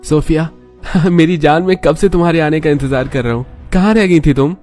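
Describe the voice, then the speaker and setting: A man's voice: a little high, moderate pace, a little mellow, faint music behind, close to an everyday voice. A man voicing a lovestruck young man in a cartoon. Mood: yearning, romantic. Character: lovestruck young man meeting his sweetheart secretly. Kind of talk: cartoon voice acting.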